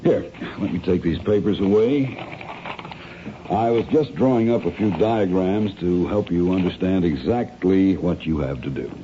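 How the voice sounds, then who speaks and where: An actor playing a polite, calculating masked crime planner in a radio drama, speaking in formal, measured, persuasive phrases. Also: male voice, low-pitched, dark tone, close to an everyday voice. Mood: courteous, hospitable. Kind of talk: 1970s radio drama acting. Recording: dull, noisy old radio drama recording.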